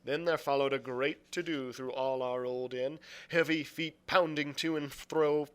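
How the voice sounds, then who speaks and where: Bright-voiced man reading a story. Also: mid-range voice, fairly even intonation, unhurried, smooth. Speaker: librarian reading a novel aloud. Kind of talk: dramatic storybook narration.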